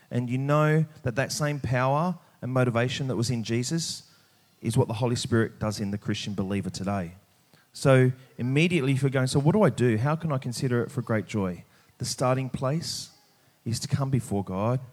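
A man preaching a sermon, speaking emphatically and pausing deliberately on key words. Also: mid-range voice, a little mellow. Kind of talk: sermon with scripture reading.

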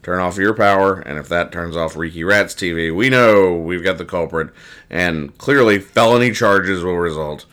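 Man, very low pitch, podcast host, casual comic banter, wry, mock-exasperated, repeats himself. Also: moderate pace, fairly bright tone.

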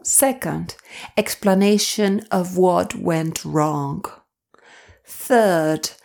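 A woman hosting a wellness podcast, slightly hoarse, talking directly to listeners in a deliberate, carefully enunciated way. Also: mid-range voice, darkish tone. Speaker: podcast host, wellness coach. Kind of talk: podcast talk addressed to listeners, part scripted.

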